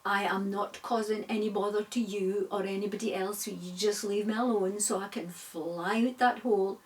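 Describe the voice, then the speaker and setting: A woman voicing a small, greedy male fly with a Scottish accent, hurried and stammering. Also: medium pitch, steady tone, recorded from a distance, close to an everyday voice. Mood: pleading.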